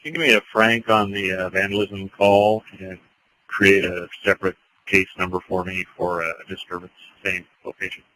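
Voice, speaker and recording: A man's voice: low voice, fairly even intonation, normal speed, sharp tone, dry recording, low-quality recording. A male police officer calling dispatch over scanner radio in a plain, procedural way. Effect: police radio transmission.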